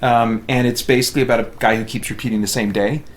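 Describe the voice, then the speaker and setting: A man's voice: medium pitch, steady tone, fast. An American man chatting casually as he streams a game, in an easygoing, rambling way. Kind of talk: casual chat while playing a game.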